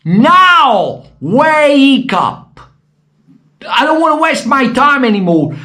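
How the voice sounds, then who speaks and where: Passionate Italian man, lively slow delivery. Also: very high-pitched. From Italy. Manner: emotional, passionate, bursts into shouted appeals. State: losing his voice. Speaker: football fan vlogger. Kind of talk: impassioned fan commentary to camera.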